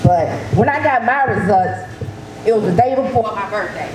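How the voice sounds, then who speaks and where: A woman tells her story to an audience in a loud, lively, casual way. Her voice rings through an echoey event hall, and clear background noise runs under it. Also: mid-range voice, normal speed. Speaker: breast cancer survivor speaking at an event. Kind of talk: personal testimony to an audience.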